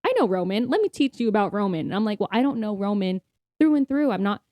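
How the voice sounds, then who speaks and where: Woman, podcast co-host, mellow relaxed tone, informal, earnest, explanatory, conversational, dry recording. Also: medium pitch, fast, no room sound. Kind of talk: casual faith-focused conversation.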